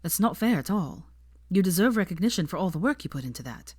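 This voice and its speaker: A woman voicing a mild, kindly, slightly awkward young man in an audiobook story, gentle and measured. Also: fairly low pitch, animated, slightly rough, no room sound, clean studio sound. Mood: sympathetic. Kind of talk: fan fiction audiobook character voice.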